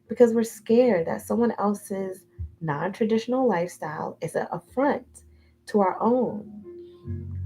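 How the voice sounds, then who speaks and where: A woman reading a nonfiction book aloud in a measured, deliberate way. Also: mid-range voice, mellow, rough recording. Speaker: audiobook reader. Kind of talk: unhurried audiobook reading.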